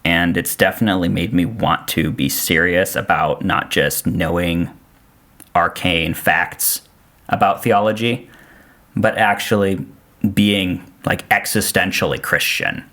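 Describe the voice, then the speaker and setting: Male podcast guest, Austrian-born native German speaker, low voice, dry and self-effacing, casual delivery. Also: unhurried, bright, forceful tone. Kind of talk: casual conversation.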